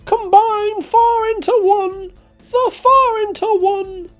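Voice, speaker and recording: A man voicing an over-keen male eccentric in a comic story, chanting and talking with loud, insistent energy. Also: extremely high pitch, steady tone, slow, faint music behind, pitched above the performer's own voice, heavily acted. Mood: fervent chanting.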